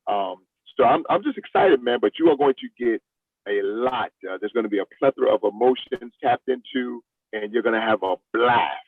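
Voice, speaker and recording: A man's voice: medium pitch, normal speed, no echo. Man on a thin phone-like line. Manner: enthusiastic, repeats phrases for emphasis. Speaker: stage play director and actor. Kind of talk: casual interview talk. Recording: thin phone-like call audio.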